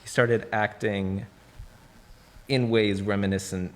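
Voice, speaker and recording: A man's voice: fairly low pitch, fairly even intonation, slow. A young man sharing his thoughts in a discussion, hesitant and careful, over some background noise. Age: young adult. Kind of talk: reflective discussion.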